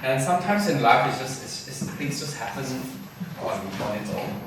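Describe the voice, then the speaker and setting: A man from Malaysia, a textile artist, speaking at an artist talk in a gallery, thoughtful and halting as he searches for words. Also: medium pitch, big pitch swings. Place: gallery event space. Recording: distant roomy mic with noise.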